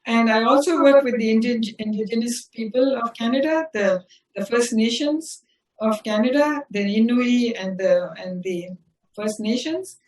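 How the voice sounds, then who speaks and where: An older woman from South India, an interview guest and community leader, telling her story in an unhurried way and often restarting her phrases. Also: a little high. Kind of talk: reflective personal storytelling. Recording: roomy sound from a distant mic.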